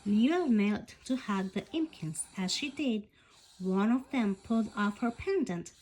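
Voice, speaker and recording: A woman reading a storybook aloud as scripted narration, in an expressive, animated way. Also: mid-range voice, measured pace, low-quality recording. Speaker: bedtime story reader.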